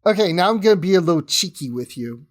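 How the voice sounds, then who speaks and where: A man's voice: high-pitched, lively intonation, quick pace. A man chatting as a guest in a casual fan podcast discussion, conversational with tentative asides.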